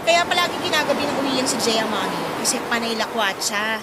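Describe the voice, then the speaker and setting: An actress playing a stern, nagging older sister in a radio drama, scornful and accusing. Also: female voice, fairly high pitch, expressive, quick pace, very noisy, natural voice. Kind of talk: Tagalog radio drama acting.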